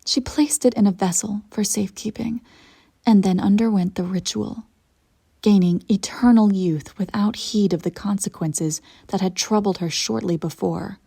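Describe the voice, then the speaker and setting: A woman playing a ruthless, self-satisfied immortal evil empress in a scripted roleplay monologue, telling her tale in a haughty, intimate, theatrical way with a soft, husky, breathy voice. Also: medium pitch. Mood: measured, musing. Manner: deliberate dramatic storytelling, haughty and intimate.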